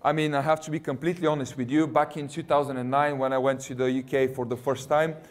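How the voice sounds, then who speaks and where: A man's voice: mid-range voice, level tone, fast. A man in his thirties with a Russian accent, a university recruiter giving a jokey, chatty presentation to students and quizzing the audience. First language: not English.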